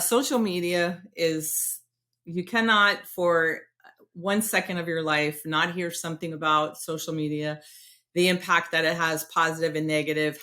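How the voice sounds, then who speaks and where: A woman hosts a podcast interview, chatting with easy, rambling excitement. Her pitch sits low, yet her tone is bright and forward. She often laughs at herself as she talks. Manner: excitable, rambling, self-deprecating. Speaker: podcast host. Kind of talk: casual interview chat.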